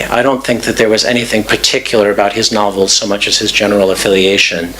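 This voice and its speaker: A man with a lowish voice answers audience questions in a thoughtful, measured way, often pausing on fillers. A faint hiss sits under his voice. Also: a bit bright, low-quality recording. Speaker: guest speaker. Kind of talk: lecture Q&A answers. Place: club event room.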